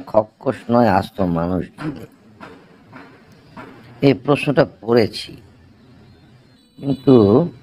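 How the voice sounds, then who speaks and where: Man playing deferential cartoon quiz contestant, Bangladeshi accent, slow and musing, mid-pitched. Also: a little mellow, a little hiss or hum, close to an everyday voice. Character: polite, hesitant male quiz contestant puzzling aloud. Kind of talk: cartoon comedy dialogue.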